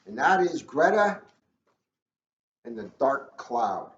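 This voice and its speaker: A man's voice: medium pitch, sing-song, slow, reverberant. A man, a police officer, reading a children's storybook aloud in a casual, informal style. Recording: dull lo-fi audio, faint noise.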